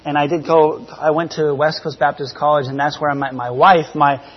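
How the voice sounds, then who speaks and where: A man in his thirties from New Zealand gives an informal church testimony, conversational and halting, often correcting himself. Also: on the high side, flat delivery, dull audio. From grew up in New Zealand. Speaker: missionary giving a church presentation. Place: church, speaking to a congregation. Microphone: church PA microphone.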